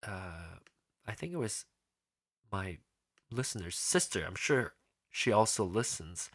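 A man's voice: fairly low pitch, lively intonation, a little slow, no echo, clean studio sound. A man hosting his own podcast, talking casually and rambling with many restarts and fillers. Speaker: podcast host. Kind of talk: casual solo podcast talk.

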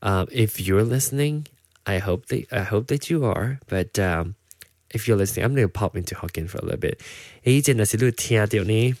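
A man with a Malaysian English accent tinged with American, talking alone as the host of his own show. His voice sits deep and low, and he wanders through his thoughts with plenty of ums and restarts. The sound is close and dry. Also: brisk, darkish tone, no room sound. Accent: Malaysian English, partly Americanized. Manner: rambling, with many fillers and restarts. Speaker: podcast host. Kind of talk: casual solo chat.

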